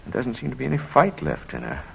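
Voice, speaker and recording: A calm, reserved doctor. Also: male voice, medium pitch, gravelly, slight background noise, exaggerated voice. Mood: grave. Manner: measured, hesitant. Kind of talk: 1940s radio acting. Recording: lo-fi old radio recording.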